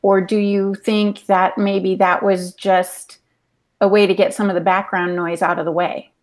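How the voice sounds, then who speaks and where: A woman's voice: medium pitch, little pitch movement, measured pace. A woman on a podcast panel, putting questions in a conversational style.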